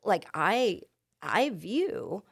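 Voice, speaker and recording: A woman's voice: mid-range voice, very animated, extremely slow, gravelly, husky, no echo, studio quality. A woman in her fifties, a teacher, speaking frankly and plainly as a guest in a casual interview. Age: 50s.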